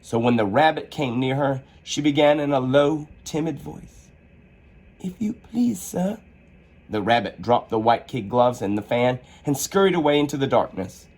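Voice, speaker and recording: A man reading a children's storybook aloud as an expressive storyteller. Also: medium pitch, normal speed.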